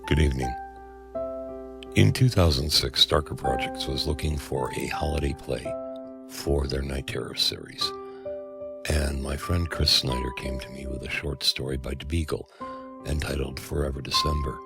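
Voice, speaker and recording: A man hosting and narrating an audio drama in a measured, deliberate way. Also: very deep voice, fairly even intonation, creaky, over music. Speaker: host and narrator.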